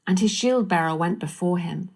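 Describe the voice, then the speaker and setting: A woman's voice: on the low side, moderate pace, darkish tone. A woman reading scripted narration of a Bible story.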